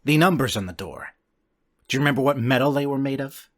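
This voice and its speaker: A man's voice: medium pitch, lively intonation, fast, a bit bright, voice pushed up, close to an everyday voice. A man voicing a shrewd, wry animal-eared informant in a tabletop game, talking thoughtfully with pondering hums and street slang. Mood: pensive. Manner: thoughtful, hesitant hums, sweary street talk.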